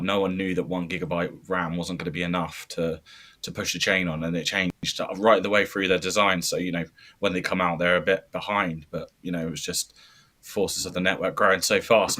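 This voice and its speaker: A man's voice: sits low, steady tone, a little fast, pushed and bright. A man on a podcast panel, talking in an easygoing, conversational way and checking for agreement. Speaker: panel guest. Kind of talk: casual podcast chat.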